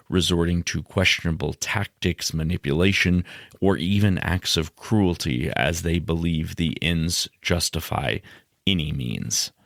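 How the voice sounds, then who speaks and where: A man hosting a podcast, giving scripted explanations in a measured, instructional way. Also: low-pitched, dry recording, studio quality. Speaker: podcast host.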